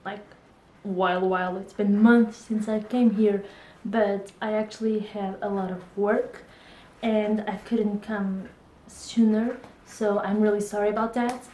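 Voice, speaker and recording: A woman's voice: mid-range voice, steady tone, a little slow, warm tone, echoey room, poor audio. A woman in her twenties from Portugal with a Portuguese accent, chatting casually to camera about books, laughing often and hesitating with fillers. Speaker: book vlogger.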